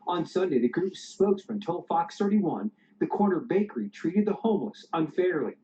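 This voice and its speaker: A male television reporter reads a news story in a measured, steady voice. His tone is mellow and a little high. The clip audio sounds dull and lo-fi. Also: a little hiss or hum.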